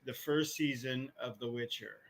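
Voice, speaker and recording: A man's voice: medium pitch, moderate pace, low-quality recording. A man chatting casually with friends as a podcast co-host about films and games.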